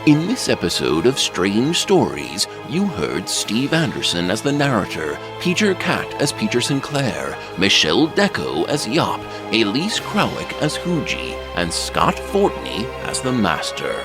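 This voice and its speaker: A man narrating an audio drama series, reading scripted credits in a deliberate, dramatic announcer style. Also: lowish voice, huge pitch swings, over music.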